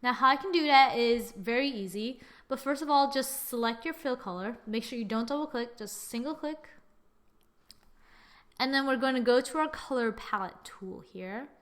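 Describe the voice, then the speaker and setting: A young woman with a fairly high voice walks through a screen-recorded software lesson. She chats casually and thinks aloud as she works, teasing her own results. It sounds like a computer microphone at a desk. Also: normal speed. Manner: chatty, self-mocking, thinks aloud while clicking. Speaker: software tutorial presenter.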